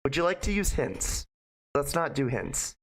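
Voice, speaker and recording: A game streamer chatting casually to viewers and reading aloud, informal and profane, with a halting delivery. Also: mid-range voice, sing-song, slight background noise. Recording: music behind the voice. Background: music.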